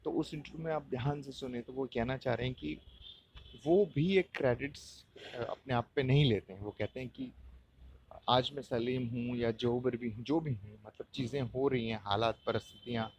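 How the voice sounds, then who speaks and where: Casting: male podcast host, Indian accent, mid-pitched voice, casual solo commentary with a halting, explanatory delivery. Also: steady tone, a little hiss or hum, low-quality recording. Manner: hesitant, explanatory, repeats points.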